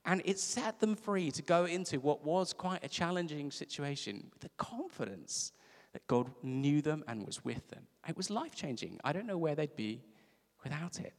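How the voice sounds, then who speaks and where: A man's voice: fairly high pitch, lively intonation, moderate pace. A man from Africa, preaching a sermon in a conversational storytelling way with emphatic repeats. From South Africa. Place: church service. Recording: reverberant church hall.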